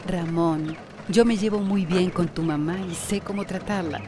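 A woman playing a patient young wife and gentle peacemaker with a Costa Rican accent, soft-spoken and hesitant, in a radio drama. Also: on the low side, fairly even intonation, moderate pace, noisy background, natural voice.

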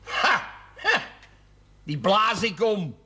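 Gruff, drunken, scornful father. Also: high voice, sing-song, drawn-out words, bright, forceful tone, heavily acted. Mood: combative. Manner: coarse, scornful, blustering. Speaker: actor in a television drama series. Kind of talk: television drama dialogue. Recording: noisy old television soundtrack.

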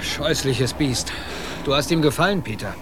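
An actor playing a hardy expedition officer and hunter, speaking in a dry, matter-of-fact, commanding way. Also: male voice, mid-range voice, lively intonation, normal speed, lightly acted. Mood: satisfied, unruffled. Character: Mister Wilde, hardy unruffled male expedition officer and hunter. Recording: clear outdoor ambience noise.

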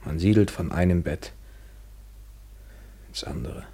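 Low, husky, world-weary male lover. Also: mellow, full character voice. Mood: detached, resigned. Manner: measured, ironic, articulate. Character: world-weary, evasive male lover, cynical yet tender. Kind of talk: literary German radio play acting, 1970s.

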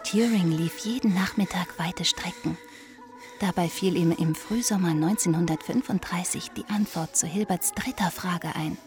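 A woman giving measured, scripted narration for a radio documentary drama. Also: on the low side, darkish tone, soft background music.

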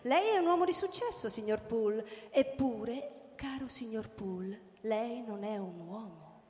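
Radio drama doctor: clinical, measured, higher register, delivering grim news in a big echoey space. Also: female voice, lively intonation, darkish tone. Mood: pointed, grave. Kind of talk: theatrical radio drama acting. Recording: echoey hall with long reverb.

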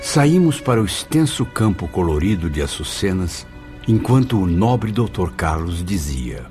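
A man's voice: deep voice, sing-song, a little slow, darkish tone. A man with a Brazilian accent playing a melancholy spirit who narrates his own memoirs in a radio drama. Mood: reflective. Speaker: first-person narrator. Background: music.

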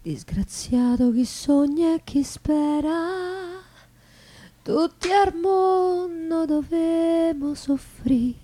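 A woman's voice: extremely high pitch, extremely slow, dark tone. A woman singer with a Roman accent, performing Roman folk songs in a theatrical style. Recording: music with plucked guitar accompaniment. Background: acoustic guitar music.

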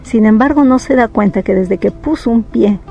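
A woman's voice: on the high side, normal speed, highs cut off, faint music behind. A woman from Peru, a psychologist, explaining in an interview in a reflective way, often checking in with tag questions. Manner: reflective, thinks aloud with many tag questions.

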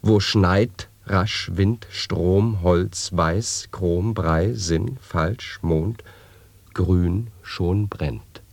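A solemn radio-play monologist declaims nonsense wordplay. The voice is deep and unhurried, each word set down deliberately. The sound is dry, with no room around it. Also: male voice, vocal fry, a little mellow, no echo, heavily acted. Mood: clipped, deliberate. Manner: deliberate, measured, declamatory delivery.

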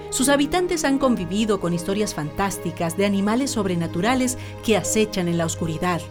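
A woman narrating a radio drama in a scripted, solemn, theatrical style. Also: medium pitch. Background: prominent music.

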